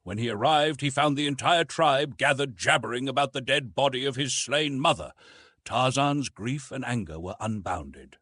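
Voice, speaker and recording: A man narrating an adventure novel as an audiobook, with expressive, dramatic storytelling. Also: medium pitch, moderate pace, no echo.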